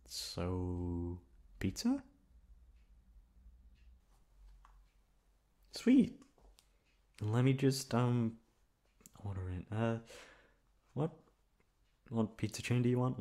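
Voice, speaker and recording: A young man acting a shy, flustered, sweet-natured male classmate in an ASMR roleplay, speaking in a halting, stammering way. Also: fairly low pitch, a little mellow. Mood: friendly, casual. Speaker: voice actor. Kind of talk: ASMR roleplay acting, one-sided dialogue.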